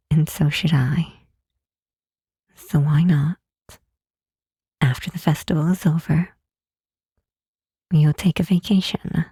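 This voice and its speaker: A gentle demon queen, acted by a woman, speaks in a mellow, heavily husky voice at a slow, deliberate pace. She is soft and reassuring, in the intimate manner of an ASMR roleplay. The sound is close and dry. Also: low voice, no echo, full character voice. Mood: calm, encouraging. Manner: slow, tender, soothing, with deliberate pauses. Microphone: close mic, dry.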